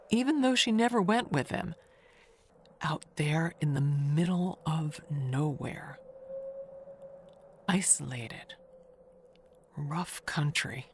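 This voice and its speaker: Woman acting a creaky, low-voiced gossipy spinster. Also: a little hiss or hum, full character voice. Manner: confiding, chatty, with pointed pauses and hushed asides. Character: gossipy, wistful, never-married older woman neighbor, insinuating. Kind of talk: dramatic monologue in an audio mystery tale.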